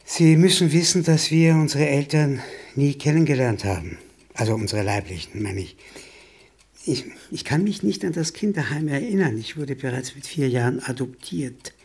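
An actor in a radio play playing a nervous, bitter violinist posing as his brother, with halting, stammering speech. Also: mid-range voice, lively intonation, a little mellow, breathy edge. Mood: wistful. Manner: halting, stammering, self-interrupting.

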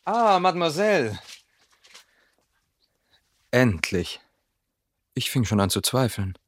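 A young actor dubbing a polite, formal young man gently courting a woman. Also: medium pitch, very animated, takes it slowly, breathy edge, heavily acted. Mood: courteous. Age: young adult. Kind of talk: film dub.

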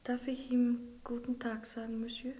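A soft-spoken young woman, voiced by an actress, pleading earnestly in a mellow, slightly high voice. The old radio play recording carries a long reverb. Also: monotone, moderate pace, close to an everyday voice. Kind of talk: 1960s radio play acting.

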